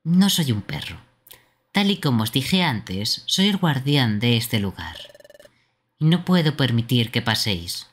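A man voicing a solemn, easily offended mystical lake guardian in a game, formal, measured and theatrical, with a husky voice. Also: medium pitch, sing-song, a bit bright. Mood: stern.

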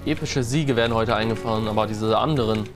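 A young man streaming a shooter game, giving clipped, excited callouts to his teammate in slangy speech. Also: lowish voice, a little fast, over music. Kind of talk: fast team callouts while gaming.

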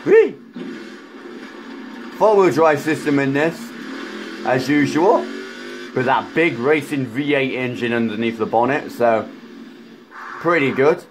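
A man with a croaky voice, chatting excitedly through a racing game as a gaming YouTuber, rambling with fillers. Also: medium pitch, takes it slowly, pauses often, noisy background. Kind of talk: casual racing game commentary.

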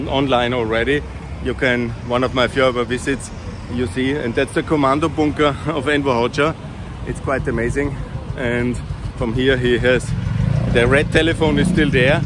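Middle-aged man vlogging outdoors over traffic. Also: medium pitch. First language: German. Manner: chatty, enthusiastic, loosely phrased with restarts. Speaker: vlogger giving a walking tour. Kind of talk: casual walk-and-talk narration. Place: outdoors on city streets. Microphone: wired earphone mic, phone held at arm's length. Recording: outdoor street noise. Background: city traffic.

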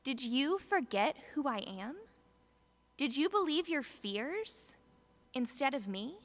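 A woman reading a children's story aloud, voicing a calm, gentle grown man who speaks softly. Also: high voice, slow, voice pushed up, full character voice.

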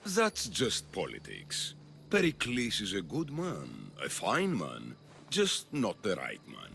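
An actor playing a scheming, grandiose populist politician of ancient Athens in video game dialogue, with a gravelly, oratorical delivery. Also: male voice, lowish voice, huge pitch swings, takes it slowly, bright, forceful tone, slight background noise, full character voice. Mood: insinuating.

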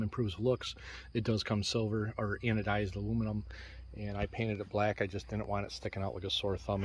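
A man's voice: lowish voice, slight background noise. A man casually walking through his truck winch setup outdoors, rambling with many fillers and restarts, filmed on a handheld phone. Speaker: DIY truck vlogger. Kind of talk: casual how-to walkthrough. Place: outdoors on a lawn beside a truck.

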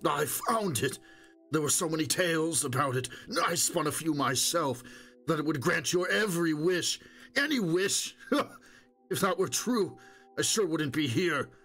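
Man voicing a desperate old wounded rogue, high-pitched. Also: expressive, a little slow, a bit bright, faint music behind, heavily acted. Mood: wistful boasting. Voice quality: hoarse, strained. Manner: pleading, moaning, insistent. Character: old wounded stalker, wheedling, desperate, boastful.

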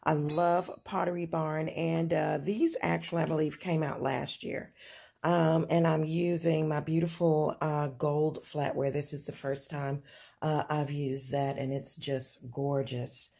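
A woman casually showing off her table decorations, soft-spoken and unhurried, with many ums and uhs, filming on a phone indoors. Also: low voice, little pitch movement, vocal fry, breathy edge. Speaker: home decor vlogger. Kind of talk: casual show-and-tell narration.

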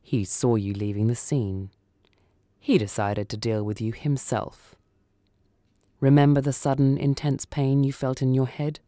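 A man acts out a supernatural son of Death for a radio short story. He is calm and menacing, with a dark tone and a slow, lucid, deadpan delivery. The sound is close and dry. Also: medium pitch, no echo, natural voice. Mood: grave, impatient.

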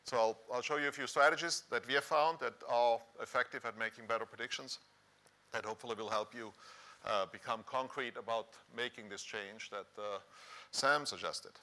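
A man's voice: medium pitch, fairly even intonation, a little fast, bright, forceful tone. A middle-aged man with a Swiss accent giving a conference presentation in a conversational manner. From Switzerland. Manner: conversational, rhetorical questions to the audience. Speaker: conference speaker, professor. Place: large dark conference hall stage, indoors.

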